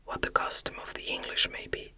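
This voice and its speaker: Narrator doing a rude hostile townsman from an old storybook, all in bright whisper. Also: a little fast, no room sound. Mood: curt, hostile. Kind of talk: whispered ASMR audiobook dialogue.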